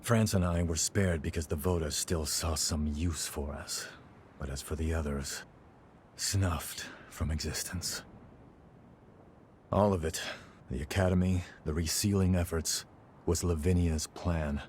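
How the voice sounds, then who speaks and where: An actor playing a weary, battle-worn male warrior in a video game, grave and regretful, speaking in measured, terse phrases. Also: deep voice, fairly even intonation, darkish tone, a little hiss or hum. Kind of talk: dramatic video game acting.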